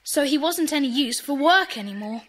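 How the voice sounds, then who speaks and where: A woman's voice: high-pitched, animated, normal speed, fairly bright tone. A woman playing an earnest, kind-hearted schoolboy in a children's audio drama. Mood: worried. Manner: earnest, explanatory.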